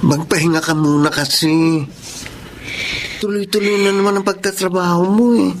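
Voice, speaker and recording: Slightly high male voice, friendly young man, gentle, encouraging, radio drama dialogue, faint noise. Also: steady tone, moderate pace. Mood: concerned, encouraging. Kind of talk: conversational radio drama acting.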